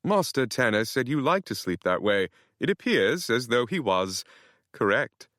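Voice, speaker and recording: A man's voice: medium pitch, sing-song, a little slow, a bit bright, dry recording, studio quality, voice pushed up. A man voicing a small, fussy male butler with a British accent and a formal, overly polite manner. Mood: prim.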